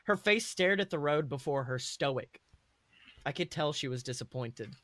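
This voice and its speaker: A man's voice: on the high side, expressive, normal speed, a bit bright, no echo. A man voicing a proud, earnest schoolboy wizard who tells his own story with emphatic delivery. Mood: subdued. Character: boy Slytherin first-year student, proud, indignant, sorry. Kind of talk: first-person dramatic story reading.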